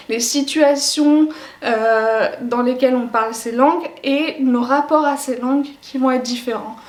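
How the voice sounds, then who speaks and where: Young woman, high voice, thinks out loud with lots of hesitations, echoey room. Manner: reflective, with frequent hesitations. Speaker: educational YouTuber. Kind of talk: explanatory talk to camera.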